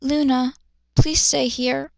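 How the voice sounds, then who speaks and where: Young male anime captain, done by a woman, calm, stern, drowsy, slow, creaky. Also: high voice, no room sound, heavily acted. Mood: sleepy.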